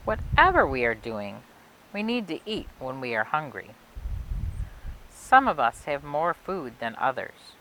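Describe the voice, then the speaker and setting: Low-voiced woman reading a picture book, lively pitch. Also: measured pace, rough recording. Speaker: library storytime reader. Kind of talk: expressive children's picture book read-aloud. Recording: clear background noise and hiss.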